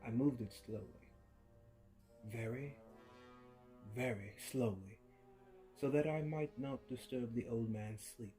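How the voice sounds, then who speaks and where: A man reads a horror tale slowly and deliberately, in a dark, mellow voice. He swings from near-whispers to sudden loud outbursts, with an unhinged edge. Faint violin music plays behind him. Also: medium pitch. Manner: unhinged, with creepy laughs. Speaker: narrator. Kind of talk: dramatic horror story reading.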